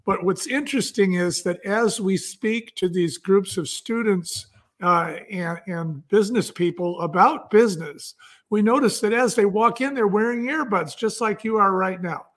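A man's voice: high voice, normal speed, a bit bright. A man speaking as an entrepreneur guest, telling stories in an enthusiastic, conversational way.

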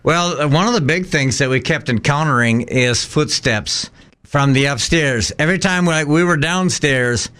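A man's voice: a little high, normal speed, fairly bright tone, clean tone. A man speaking as an investigator guest, giving conversational answers in an interview.

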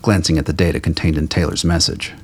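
A man reading scripted dramatic fiction as a narrator, with a gravelly, husky voice. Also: a bass voice, flat delivery, fast talker.

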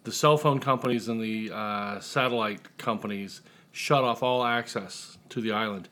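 A man's voice: lowish voice, moderate pace, pushed and bright. A man from the United States chatting as an author guest, explaining things in a conversational way. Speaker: author guest, humor writer and ghostwriter. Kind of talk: casual interview chat.

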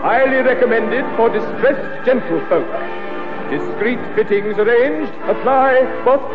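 A man's voice: very high-pitched, unhurried. An actor playing a man reading out a formal classified advertisement in a British sitcom. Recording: muffled, echoey, loud music over voice.

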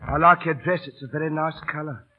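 An actor in an old radio drama playing a middle-aged, down-at-heel busker, proud yet beaten and pleading, with colloquial working-class speech and theatrical delivery. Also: male voice, a little high, steady tone, fast, a little hiss or hum, natural voice. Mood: sheepish, evasive. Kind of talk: 1930s-40s radio drama acting. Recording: old radio broadcast, phone-like narrow band.

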